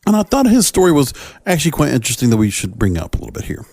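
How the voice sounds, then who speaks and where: A man speaking as a podcast host, giving solo commentary in halting, short phrases. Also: mid-range voice, wildly swooping pitch, vocal fry, darkish tone, dry recording.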